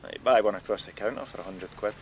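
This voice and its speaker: An actor playing a matter-of-fact police detective inspector in a radio crime drama. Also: male voice, fairly low pitch, fast, slight background noise, close to an everyday voice. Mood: businesslike, grim.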